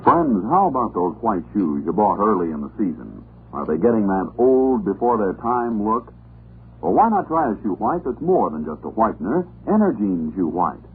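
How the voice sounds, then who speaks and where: A male commercial announcer from old-time radio. His low voice moves with lively intonation over a thin, tinny recording with faint hum. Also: moderate pace. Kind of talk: 1940s radio advertisement read.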